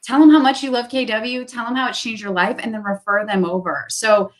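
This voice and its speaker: A woman's voice: a little high, steady tone, a little fast. A woman talking business as a guest on a video call, upbeat and full of fillers, repeating her phrases for emphasis.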